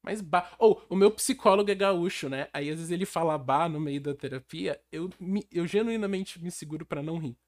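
A man's voice: fairly high pitch, moderate pace, hardly any pauses. A man from Brazil joking crudely in a casual slangy chat among friends.

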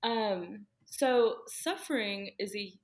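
A woman's voice: mid-range voice, slow pace. A young woman, a podcast guest, talking earnestly about her faith in a casual, rambling way with many fillers.

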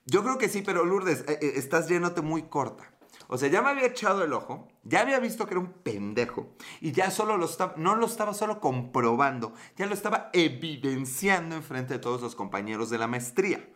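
A man's voice: on the high side, very animated, a little fast, fairly bright tone. A young Mexican man hosting a livestream, telling a rambling, slangy story and answering chat, laughing often. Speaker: livestream host. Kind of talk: casual storytelling to live chat.

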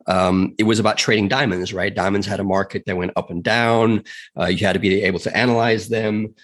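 A man from South Africa, a podcast guest telling his story in a chatty, earnest way with frequent fillers. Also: deep voice. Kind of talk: casual storytelling in a podcast interview.

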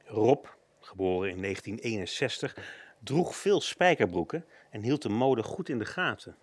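A man's voice: lowish voice, animated, normal speed, slightly rough, dry recording. A man reading scripted narration for a local radio show.

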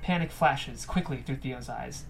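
A woman's voice: very deep voice, a little slow, creaky, slight background noise. A woman reading stage directions from a script as the narrator.

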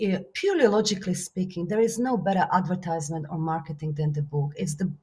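A woman's voice: lowish voice, fast talker, warm tone, breathy edge. A woman from Slovakia, a native Slovak speaker, talking as an author and business coach in an interview, thoughtful with frequent fillers and easy laughter. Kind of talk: interview guest.

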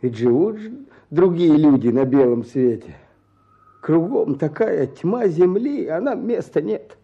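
An actor playing a weary, gentle but stubborn peasant fugitive in a Russian radio drama, with plain, earnest folksy speech. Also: male voice, on the high side, lively intonation, a little slow, breathy edge, heavily acted. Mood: bitter, questioning. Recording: dull, muffled radio play recording.

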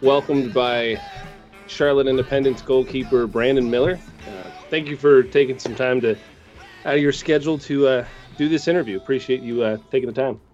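A man hosting a casual interview, asking questions with many pauses and fillers. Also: mid-range voice, darkish tone, dull audio, faint music behind. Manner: hesitant, filler-heavy questions. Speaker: podcast host.

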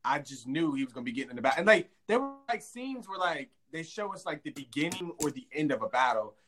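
Guy hosting a chat podcast, bright forceful voice, easygoing and chatty. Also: a little high, very animated, fast. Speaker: podcast host. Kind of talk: casual chat.

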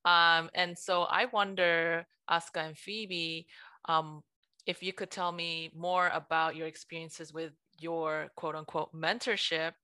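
Low, bright-toned woman co-host. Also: level tone, takes it slowly, smooth, dry recording.

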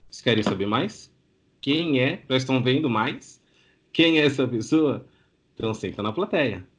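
A man's voice: mid-range voice, expressive, moderate pace, husky. A man with a Brazilian Portuguese accent hosting a casual interview show in an effusive, informal way. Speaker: show host.

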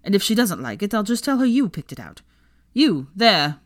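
A woman's voice: medium pitch, very animated, fast talker, darkish tone, no echo, clean studio sound, voice pushed up, lightly acted. A woman voicing an anxious, tactless young man who frets, in a storybook reading. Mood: curt. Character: anxious, tactless, fretful young man, posh-shopping boyfriend.

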